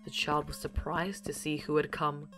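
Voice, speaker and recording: A woman's voice: deep voice, level tone, normal speed, music in the background. A woman delivering scripted narration as the narrator of an audio series, in a formal storytelling manner.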